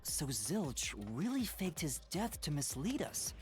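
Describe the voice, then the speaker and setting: An earnest teenage rookie detective boy, hesitant and anxious, with a lively, shifting intonation. The style is anime video game acting, over faint background music. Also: low voice, unhurried. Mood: earnest, unsure.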